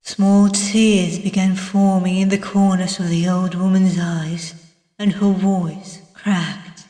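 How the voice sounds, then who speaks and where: A woman's voice: lowish voice, little pitch movement, takes it slowly, dark tone. A woman from Russia, a native Russian speaker, reading a visual novel aloud with dramatic narration and voice acting. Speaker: let's player reading the game aloud. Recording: echoey, long reverb, distant sound.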